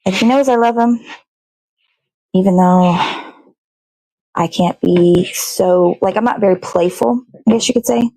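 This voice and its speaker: A woman's voice: medium pitch, measured pace, pauses often, dark tone, no room sound, low-quality recording. A woman chatting casually while she cooks, rambling to herself and swearing freely as a show host. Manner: rambling, self-talking, frequent swearing. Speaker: host of a daily puppet show. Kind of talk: casual chat while cooking.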